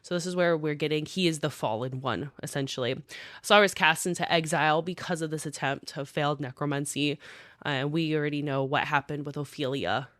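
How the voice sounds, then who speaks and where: A woman co-hosting a book podcast, engaged and recapping the story in a steady, explaining way with occasional fillers. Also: low-pitched, brisk, no room sound, clean studio sound. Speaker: podcast co-host.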